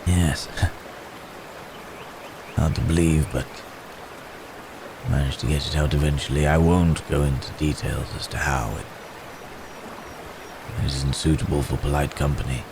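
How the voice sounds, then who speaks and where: A man playing a wounded, courteous werewolf in an intimate ASMR roleplay, halting and strained with pain, with old-fashioned polite phrasing. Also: extremely low pitch, steady tone, background noise. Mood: weary, grave. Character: wounded, weary, courteous male werewolf hunted by men.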